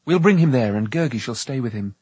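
A man's voice: medium pitch, animated, brisk, darkish tone, slightly rough, no echo. An earnest young hero from a storybook, read by a man. He speaks formally and humbly in a mid-range voice. The recording is dry. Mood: resolute. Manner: sincere, formal.

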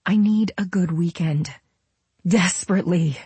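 A woman playing an eager, high-pitched, pleading young woman. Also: measured pace, mellow, husky, no echo. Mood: pleading, urgent. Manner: urgent, pleading, informal. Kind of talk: audiobook character dialogue.

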